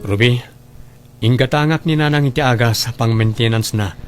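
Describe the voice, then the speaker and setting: A man's voice: mid-range voice, moderate pace, over music, natural voice. A man playing a caring, responsible elder brother and son in an Ilocano radio drama, earnest and solicitous. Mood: concerned.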